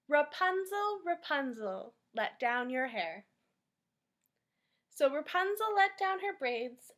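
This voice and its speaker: A woman hosting a library storytime, reading aloud and instructing children in an expressive, deliberate way. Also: high-pitched.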